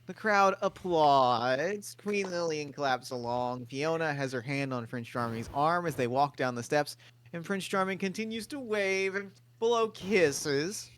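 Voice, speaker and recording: Bright-voiced man reading stage directions. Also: fairly high pitch, a little hiss or hum. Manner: brisk, matter-of-fact reading. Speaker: narrator.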